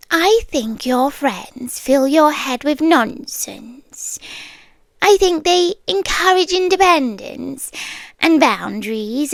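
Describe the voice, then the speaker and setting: Slow, whispery British yandere girlfriend, acted by a woman. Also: high-pitched, no room sound, exaggerated voice. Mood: disdainful, clingy. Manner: breathy, whispery, slow, sweetly condescending. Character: possessive yandere girlfriend, inhuman nest-dweller, softly menacing. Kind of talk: ASMR roleplay. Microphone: close microphone.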